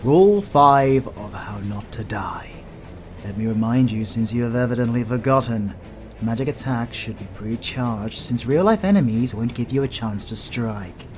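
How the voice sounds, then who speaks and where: A man's voice: medium pitch, lively intonation, normal speed, close to an everyday voice. A man with a British accent playing a stern, sardonic demon hunter who mentors his apprentice in a fantasy audio roleplay, dry and commanding. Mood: urgent, exasperated. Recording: music behind the voice. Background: music.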